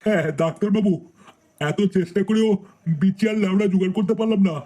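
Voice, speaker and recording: A man's voice: sits high, steady tone, quick pace, mellow, natural voice. A man voicing a foul-mouthed, worried, grumbling old village grandfather in a comedy cartoon. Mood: resigned. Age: older. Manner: grumbling, crude.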